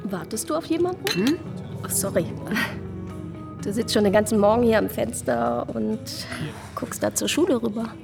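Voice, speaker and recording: A woman's voice: a little high, normal speed, vocal fry, over music. An actress playing a frank working woman in a naturalistic radio crime drama. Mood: curious, direct.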